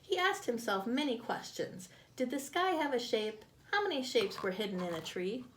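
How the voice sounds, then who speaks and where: A woman reading a picture book aloud to children, expressively and with deliberate phrasing, as a storyteller. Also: on the high side, very animated, fairly bright tone, slight background noise.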